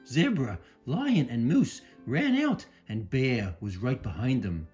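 Slow, very expressive storytelling woman. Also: a bass voice, faint music behind. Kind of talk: children's read-aloud narration.

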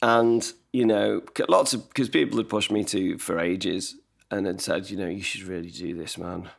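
A man's voice: low voice, moderate pace, gravelly. A man in his forties, a songwriter guest on a video call, talking at length with many restarts and self-deprecating asides, at a desk mic in his living room. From England. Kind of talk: casual podcast conversation. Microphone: desk mic on stand, video call.

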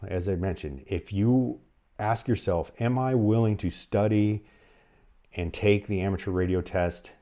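A man with a North American accent speaks in a low, mellow voice. He gives explanatory advice to the camera, phrasing it in a measured, halting way. He sounds like a presenter in his forties. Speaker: presenter of an emergency radio advice channel. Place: small furnished room at home, indoors.

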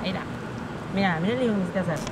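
A young adult woman with an Argentine Spanish accent, lively and casual, rating and recommending food as a travel vlogger. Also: mid-range voice, racing. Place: outdoors on city streets and cafés. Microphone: handheld selfie camera. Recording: loud crowd and street noise.

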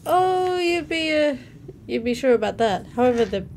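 A woman's voice: high-pitched, lively intonation, normal speed, a little mellow. Young woman reacting casually, noisy background. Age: young adult. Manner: informal, interjecting between read-out captions. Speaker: reaction video host. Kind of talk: casual reaction commentary, reading captions aloud. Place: small room at home, indoors. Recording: noticeable background noise.

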